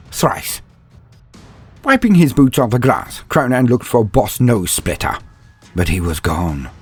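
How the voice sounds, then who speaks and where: A man's voice: mid-range voice, husky. A man narrating a comedic fantasy story in a mock-epic, dramatic storytelling manner.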